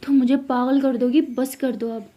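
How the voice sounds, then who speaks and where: A woman's voice: high voice, level tone, moderate pace, dark tone. Woman doing a curt dismissive girl. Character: blunt bossy girl friend, dismissive.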